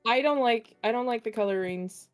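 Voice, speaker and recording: A woman's voice: fairly high pitch, normal speed, clean tone, faint music behind. A woman co-playing on a game stream, giggly and playfully protesting in casual chat.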